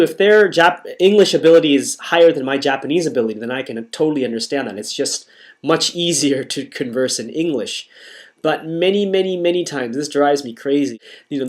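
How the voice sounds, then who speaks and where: A young American man with an American accent, talking casually to camera in a halting way, often breaking off and restarting his sentences. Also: fairly high pitch. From United States. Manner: halting, self-interrupting, frequent restarts. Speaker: vlogger.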